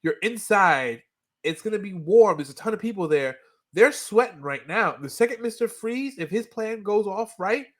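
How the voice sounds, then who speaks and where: Man, slightly high voice, podcast co-host, casual discussion, explanatory storytelling. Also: animated, moderate pace. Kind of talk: casual animated-series rewatch chat with a co-host.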